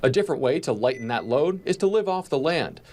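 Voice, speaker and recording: Animated American male news reporter. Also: medium pitch, brisk. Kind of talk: scripted news narration.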